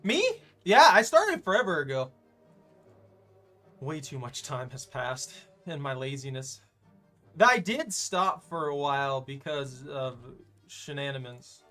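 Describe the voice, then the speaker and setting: A young man streaming a tank game, giving playful, teasing commentary with loud exclamations. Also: mid-range voice, big pitch swings, a little slow, long pauses, faint music behind. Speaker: gaming streamer. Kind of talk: live gameplay commentary.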